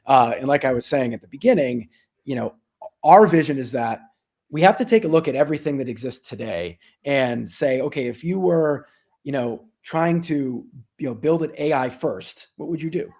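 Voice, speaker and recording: A man's voice: mid-range voice, normal speed, darkish tone. A man speaking as an executive in a business interview, explaining things conversationally with frequent asides. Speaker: company executive being interviewed.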